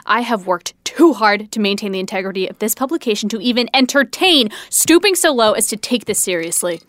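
A woman's voice: medium pitch, animated, brisk, fairly bright tone, dry recording, close to an everyday voice. A woman playing a stern, indignant newspaper editor in a radio drama, curt and dismissive.

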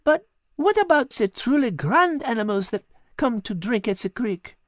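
A woman's voice: on the high side, sing-song, normal speed, no room sound, clean studio sound, voice pushed up. A woman voicing a wise, polite female cat who speaks with a French accent, reading a storybook. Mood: warm. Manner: French-accented, gently teaching.

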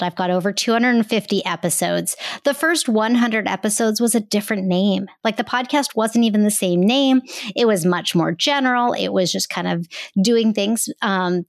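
A woman's voice: mid-range voice, no room sound, studio quality. A woman guest on a podcast, talking enthusiastically about coaching music teachers. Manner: enthusiastic, explanatory. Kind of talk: casual interview conversation.

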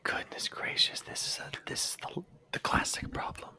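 A man's voice: normal speed, sharp tone. A man whispering teasing, wry comments in casual chat.

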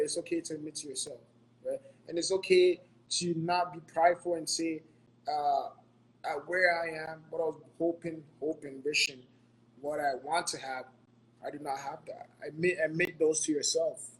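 A man's voice: a little high, slight background noise, rough recording. A man from Ghana giving earnest, motivational money advice in a casual podcast, often repeating himself and checking in with "right?".

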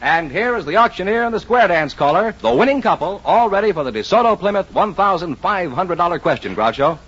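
An American male announcer speaks in a polished vintage radio sales style. His voice sits fairly high and moves in lively swings, a little husky. The old recording is dull and muffled. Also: brisk, faint music behind. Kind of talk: 1950s radio announcing and advertising.

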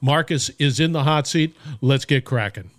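Host: middle-aged man, energetic and emphatic, average pitch. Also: moderate pace. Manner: upbeat and emphatic, repeats words. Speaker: podcast host. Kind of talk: podcast intro and interview.

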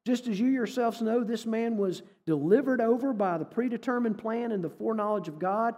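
A man's voice: very high-pitched, brisk, darkish tone. A middle-aged man, telling a story as a guest speaker in a conversational sermon style. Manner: folksy, conversational storytelling with quoted dialogue. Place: church hall. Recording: echoey hall.

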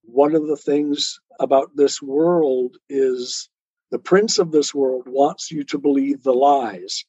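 A man's voice: mid-range voice, takes it slowly, mellow, breathy edge. A man speaking as an interview guest, earnestly explaining spiritual ideas step by step in a didactic way. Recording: muffled, roomy, lo-fi sound.

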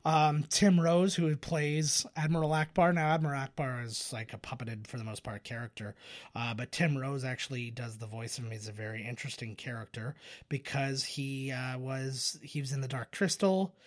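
A man giving casual film commentary as a co-host, thinking aloud in a halting way with false starts. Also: medium pitch, steady tone, no room sound, studio quality. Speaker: podcast co-host.

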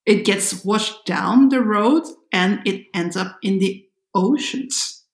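A woman's voice: medium pitch, slow. Woman chatting in an echoey room. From France. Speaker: podcast co-host. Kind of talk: casual conversation.

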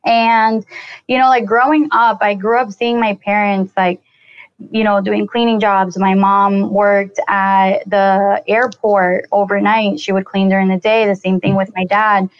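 A woman's voice: medium pitch, little pitch movement, unhurried, no echo. A young woman from Colombia, talking as a podcast guest. Her talk is loose and chatty and dotted with "like" and "you know". The sound is dry and a bit muffled. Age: 20s. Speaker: podcast guest, real estate investor. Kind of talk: casual interview storytelling.

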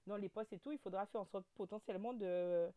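A woman in her thirties with a Guadeloupean French accent, a guest telling her story in a casual interview, with lots of fillers, restarts and animated turns. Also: mid-range voice, fairly quick. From French overseas territory. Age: 30s.

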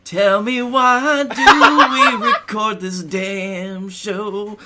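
A man's voice: sits high, steady tone, very slow, over loud music. A man co-hosting a comedy podcast in casual, playful, sweary banter. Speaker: podcast co-host.

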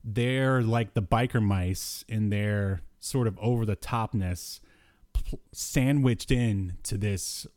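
A man with a fairly low voice co-hosts a casual review podcast. He talks in a loose, rambling way, with slangy turns of phrase. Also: slow, darkish tone. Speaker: podcast co-host. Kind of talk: casual review chat about cartoons.

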